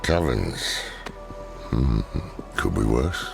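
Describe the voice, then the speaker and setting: Old scholar-warrior for a fantasy game: extremely low, heavily creaky, dry and grim, score underneath. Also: male voice, very animated, very slow, full character voice. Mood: wry. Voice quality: deep gravelly voice. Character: weary, wry old male scholar-warrior mentor. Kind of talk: dramatic video game acting. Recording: music behind the voice.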